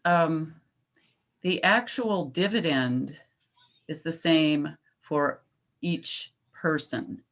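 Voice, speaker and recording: A woman with a low, steady voice lays out a policy proposal to a local board. She explains patiently and slows down to stress her key points. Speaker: climate advocate presenting to a town board. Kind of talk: public comment at a town meeting.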